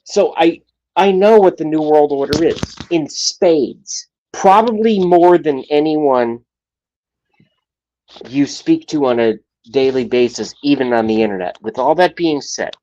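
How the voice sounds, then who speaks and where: A man in his late thirties hosting a livestream talk show, rambling and profane, with his loudness swinging up and down. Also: medium pitch, highs cut off, a little hiss or hum. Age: 30s.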